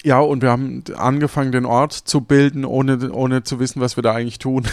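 A man's voice: mid-range voice, normal speed, no room sound. Man chatting casually, dry close sound. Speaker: podcast co-host. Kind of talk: casual chat.

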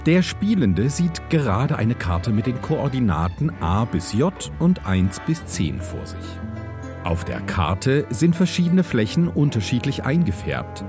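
A man's voice: medium pitch, sing-song, a little slow, over music. A man reading a scripted announcement as a game show's announcer.

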